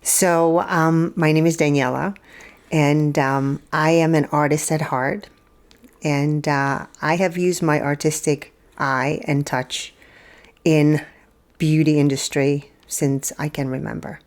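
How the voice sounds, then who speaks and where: Guest: middle-aged woman, English, low voice, calm, unhurried storytelling. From Czech Republic. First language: Czech. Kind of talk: podcast interview.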